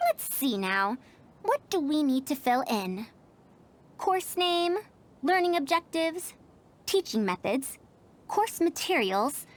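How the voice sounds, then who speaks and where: A woman's voice: sits high, sing-song, slow pace, long pauses, a little hiss or hum, heavily acted. A woman voicing a confident, headstrong female scholar in a video game, with formal, old-fashioned phrasing. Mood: pondering. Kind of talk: voiced game character dialogue.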